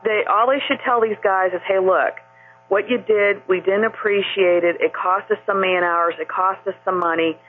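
A woman's voice: medium pitch, steady tone, normal speed. A woman calling in to a talk radio show, rambling and indignant with many fillers. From Maine. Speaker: caller. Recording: phone line, husky.

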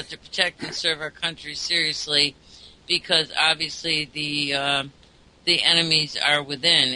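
A man's voice: fairly high pitch, bright, forceful tone, slight background noise, low-quality recording. A man hosting a talk show, rambling along with lots of ums and uhs.

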